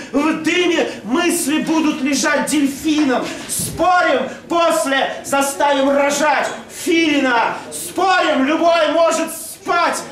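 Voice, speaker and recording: A middle-aged man works a poetry night as host and poet. His high voice is declamatory and loud, and it rings through a very echoey club, picked up from a distance. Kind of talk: live poetry recital and hosting. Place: rock club stage and floor, indoors. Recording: echoey club hall, distant camera microphone.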